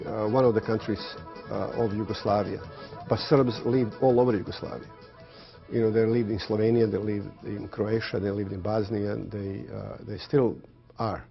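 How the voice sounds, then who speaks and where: A man speaking off the cuff in an interview, with halting, non-native English phrasing. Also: on the low side, steady tone, gravelly, faint music behind. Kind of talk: unscripted interview talk. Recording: dull, lo-fi interview audio.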